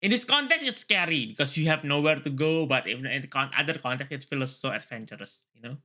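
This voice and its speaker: Bright-voiced man reading aloud and chatting. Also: medium pitch, fast. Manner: excitable, often laughing and digressing. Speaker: host reading a story aloud with commentary. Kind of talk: read-aloud mixed with casual chat commentary.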